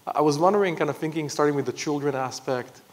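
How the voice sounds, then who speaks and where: A man in his thirties moderating an on-stage conference interview, piecing his questions together haltingly. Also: fairly high pitch. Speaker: moderator, technology executive. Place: large conference stage, seated in armchair. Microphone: clip-on lapel mic.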